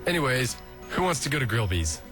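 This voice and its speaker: A man voicing a laid-back, easygoing skeleton older brother, casual and unhurried, in a comic dub. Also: medium pitch, big pitch swings, a bit bright. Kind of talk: fan comic dub acting. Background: faint music.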